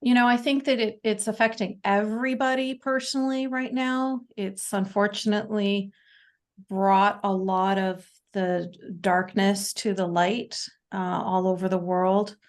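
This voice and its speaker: Slow-talking Canadian woman. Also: medium pitch, steady tone. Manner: halting, with many fillers and restarts. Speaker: podcast guest. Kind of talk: casual interview talk.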